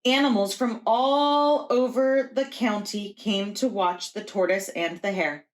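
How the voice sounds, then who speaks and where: A woman's voice: medium pitch, steady tone, measured pace. A woman reading a picture book aloud to young children as a preschool teacher.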